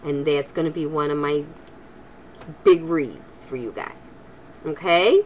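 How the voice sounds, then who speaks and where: An older woman, a grandmother, speaking to children in an expressive, homely storytelling style. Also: low-pitched, slow, low-quality recording. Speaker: grandmother reading stories for children. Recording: home recording with faint background noise.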